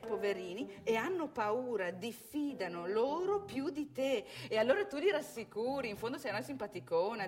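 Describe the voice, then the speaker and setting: An actress playing a brash, worldly prostitute who instructs a newcomer in a frank, knowing stage monologue. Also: female voice, mid-range voice. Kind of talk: theatrical monologue.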